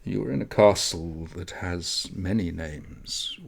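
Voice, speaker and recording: Man as an old grandfather, low, slow, solemn, gentle, storybook dream figure. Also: creaky, full character voice. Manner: measured, solemn.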